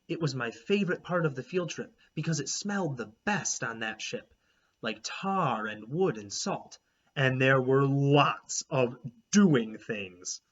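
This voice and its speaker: A man, a teacher, reading a children's chapter book aloud with expressive, animated storytelling. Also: on the high side, slightly rough. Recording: dull lo-fi recording.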